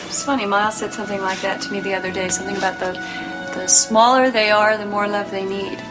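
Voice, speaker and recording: A woman's voice: mid-range voice, fairly even intonation, fast talker, lightly acted, poor audio. An actress playing a devoted, self-doubting young mother in soap drama dialogue. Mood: tender, self-doubting. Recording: music behind dialogue.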